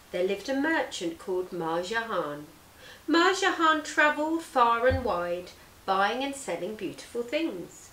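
A woman in her thirties, a teacher reading a children's story aloud with deliberate, theatrical phrasing. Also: mid-range voice, a bit bright, recorded from a distance, slight background noise. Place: living room sofa, indoors. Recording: roomy indoor echo.

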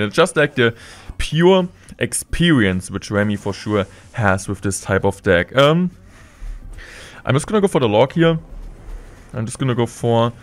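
A young man calls his own game move by move from a desk mic, steady in his play-by-play and jumping up at the big plays. His voice sits at an ordinary middle pitch and is a little worn from being sick, with faint music running behind him. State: slightly sick. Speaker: game streamer. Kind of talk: live gameplay commentary. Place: indoor streaming room.

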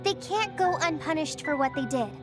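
Girlish anime game heroine soldier, very high voice, sincere, resolute yet self-doubting. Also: animated, normal speed, over music. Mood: earnest, resolute. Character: earnest young woman soldier, determined but self-doubting.

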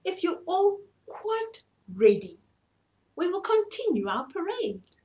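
A woman's voice: very high-pitched, big pitch swings, slow pace, warm tone, voice pushed up, full character voice. A woman voicing a haughty male peacock in a children's storybook, pompous and commanding. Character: haughty male peacock, self-important star of the parade. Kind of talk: children's storybook read-aloud.

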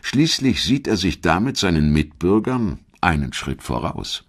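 A man reading scripted documentary narration in a measured, articulate storytelling manner. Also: low-pitched, very animated.

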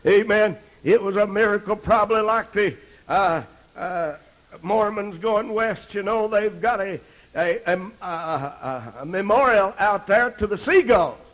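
A middle-aged American man preaching a holiness sermon, moving between folksy storytelling and loud exhortation, punctuating his phrases with amen. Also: high-pitched, unhurried. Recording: dull, lo-fi archival sermon recording.

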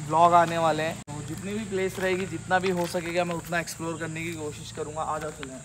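A man vlogging as he explores an abandoned school by day, talking in an excited, spooked way and mixing in English words. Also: on the high side, fast. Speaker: vlogger exploring a haunted place. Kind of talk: casual walk-through vlog. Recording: loud insect and cricket noise behind.

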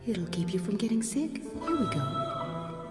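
A woman voicing a gentle, loving young mother, soft and coaxing, in an anime dub. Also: on the high side, sing-song, measured pace. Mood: soothing. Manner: soothing, coaxing. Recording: dreamlike echo with music behind.

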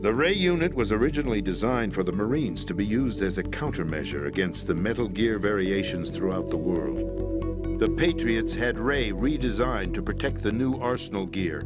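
An actor playing a middle-aged president in a video game, explaining a conspiracy in a measured, grave manner. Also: male voice, fairly low pitch, animated, loud music behind the voice, natural voice. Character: calm, grave middle-aged man, president briefing via codec. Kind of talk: video game cutscene voice acting.

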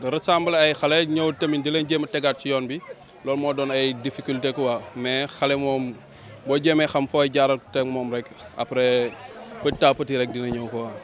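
A young Senegalese man is interviewed outside. His voice is of middle pitch with little melodic movement, recorded on a handheld reporter's mic. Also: flat delivery, normal speed. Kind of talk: on-camera interview. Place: outdoors on a sandy football pitch. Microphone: handheld reporter microphone.

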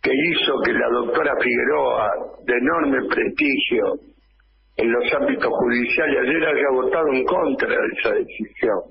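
An older man with an Argentine accent giving an impassioned political editorial on the radio, speaking slowly into a close studio microphone and hammering rhetorical questions. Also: mid-range voice, creaky, lo-fi sound, noisy background. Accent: Argentine Spanish. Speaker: radio commentator. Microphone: studio microphone close up, wearing headphones.

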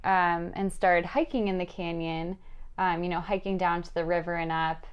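A woman's voice: lowish voice, fairly even intonation, normal speed, clean tone, highs cut off. A woman co-hosting a casual podcast, talking modestly with frequent ums and light laughs. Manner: modest, giggly, many ums. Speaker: podcast co-host. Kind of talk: casual chat.

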